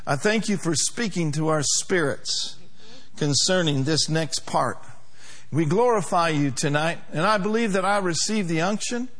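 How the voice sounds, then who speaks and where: A man's voice: on the high side, expressive, a little slow, a bit bright. A male pastor preaching a sermon to a congregation, emphatic, with call-and-response.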